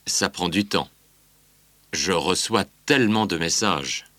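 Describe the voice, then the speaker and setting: A man's voice: deep voice, takes it slowly, sharp tone, no room sound, full character voice. An actor voicing a male singer on a promo tour, reading a scripted interview in an easygoing way. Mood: candid.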